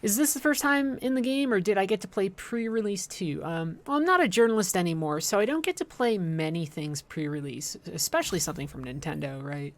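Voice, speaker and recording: A woman's voice: medium pitch, fairly quick, few pauses. A woman doing casual livestream game commentary, chatting informally with viewers and rambling into playful asides. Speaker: livestream host playing a video game.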